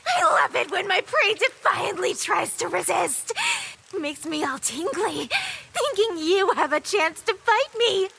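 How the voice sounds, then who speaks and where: A woman's voice: extremely high pitch, expressive, unhurried, gravelly, sharp tone, slight background noise, exaggerated voice. A woman voicing a sadistic, theatrical villainess who mocks and gloats over her prey in a fantasy audio drama. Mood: gleeful, crazed. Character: sadistic, gleeful villainess, an otherworldly being who toys with prey.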